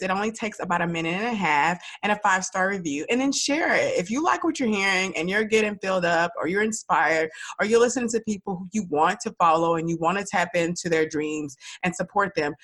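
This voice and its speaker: A woman's voice: mid-range voice, a bit bright. Stuffy-nosed woman podcast host. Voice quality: nasal. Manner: enthusiastic, encouraging. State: stuffy nose from a cold. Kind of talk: upbeat podcast interview.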